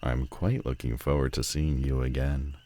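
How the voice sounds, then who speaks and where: Man acting a very deep-voiced, haughty villain. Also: flat delivery, dark tone. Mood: teasing, confident. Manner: haughty, dramatic, drawn-out emphatic phrasing. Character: proud, sardonic telepathic male villain, secretly lonely. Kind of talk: roleplay audio drama acting.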